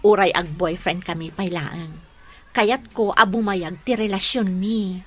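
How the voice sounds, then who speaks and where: A woman's voice: mid-range voice, fairly even intonation, measured pace, lightly acted. A woman with an Ilocano Filipino accent playing a sweet, trusting young girlfriend with a jealous streak in a radio drama, speaking earnestly and emotively.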